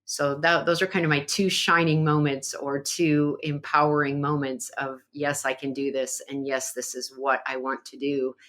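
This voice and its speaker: Woman, deep-pitched, earnest, reflective, interview guest. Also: little pitch movement, moderate pace, few pauses, a bit bright. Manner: earnest and reflective, with frequent fillers. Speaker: podcast guest. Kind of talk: conversational interview.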